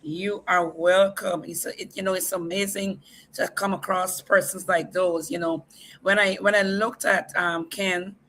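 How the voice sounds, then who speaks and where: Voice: medium pitch, flat delivery, measured pace, a bit bright, slight background noise. The host of a livestream talk show, upbeat, introducing guests and repeatedly asking viewers to share the stream.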